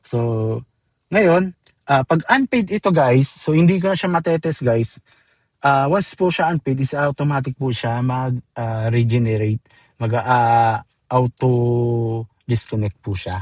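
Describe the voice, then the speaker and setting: A man's voice: medium pitch, measured pace, dry recording. Filipino man giving a casual, dry-sounding tutorial. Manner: informal, addresses viewers as guys, code-switches into English terms. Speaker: software tutorial presenter. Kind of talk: casual screen-demo tutorial, step-by-step.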